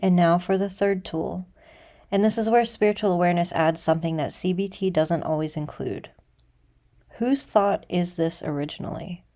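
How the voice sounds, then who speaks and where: A woman teaching a guided meditation in a measured, deliberate way, leaving pauses between phrases. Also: on the low side, mellow.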